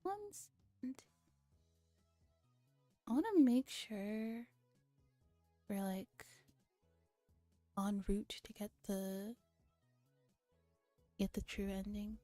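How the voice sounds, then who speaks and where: A woman streamer chatting casually in a soft ASMR style, with playful, slangy asides. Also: mid-range voice, very slow, pauses often, no room sound. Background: faint music.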